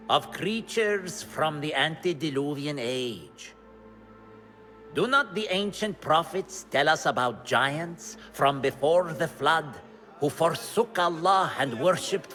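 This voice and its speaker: Pompous old scholar giving a grand speech, slow, super animated pitch, game cutscene. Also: male voice, exaggerated voice. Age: older. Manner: pompous, theatrical, oratorical. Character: grandiose old male scholar, self-declared wisest of the wise. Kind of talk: video game cutscene lecture.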